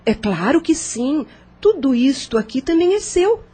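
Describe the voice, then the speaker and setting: A woman playing a kindly, gracious lady of means in an audio novel, with a husky voice and a Brazilian accent. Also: high voice, sing-song, a little slow, warm tone. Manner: gracious, reassuring. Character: kindly, talkative, cheerful widowed lady of means.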